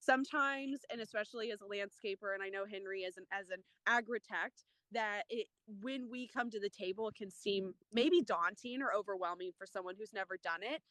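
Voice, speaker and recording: A woman's voice: mid-range voice, brisk, few pauses, a bit bright, dry recording, poor audio. A woman landscaper speaking as a panel guest in a casual chat, enthusiastic and rambling, with many fillers.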